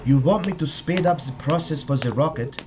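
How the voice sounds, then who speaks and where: Man as harried, higher-voiced rocket scientist. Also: normal speed, background noise, close to an everyday voice. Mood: exasperated. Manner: exasperated, earnest. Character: harried, protesting wartime lead rocket scientist. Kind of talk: fan audio drama acting.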